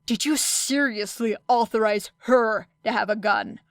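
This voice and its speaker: A woman's voice: a little high, unhurried, no room sound, studio quality. A woman voicing a stern, exasperated man, a hero teacher who speaks in a curt, reproachful way, in an audiobook story.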